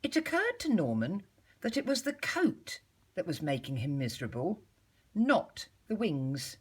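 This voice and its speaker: A woman reads a children's story with very lively, swooping intonation. Her voice sits a little low and has a husky grain. She takes her time, pausing deliberately like a teacher reading to a class of little ones. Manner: measured, animated storytelling with deliberate pauses.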